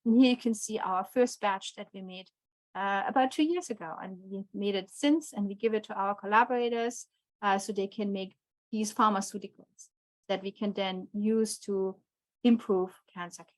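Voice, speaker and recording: A woman's voice: medium pitch, normal speed, no room sound, rough recording. A woman from Germany with a German accent, giving an online physics lecture with frequent fillers and restarts. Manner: hesitant, many fillers and restarts.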